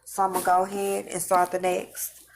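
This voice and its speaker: A woman's voice: fairly low pitch, monotone, moderate pace, gravelly, a little hiss or hum, poor audio. A woman who is losing her voice, talking casually and rambling to her viewers, repeating and restarting phrases as she recounts visions. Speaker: vlogger recounting religious visions. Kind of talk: casual spoken monologue to viewers.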